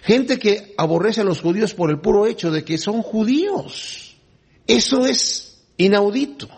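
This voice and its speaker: A man with a somewhat high, husky voice teaching from the Bible. He reads the scripture passages aloud, then breaks off into relaxed, conversational explanation. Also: unhurried. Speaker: preacher.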